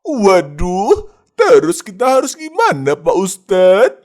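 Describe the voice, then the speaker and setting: A woman's voice: mid-range voice, wildly swooping pitch, slow pace, darkish tone. A woman voicing an eager, suspicious young male sidekick in a cartoon, exclamatory and deferential. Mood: worried. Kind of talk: animated cartoon dialogue.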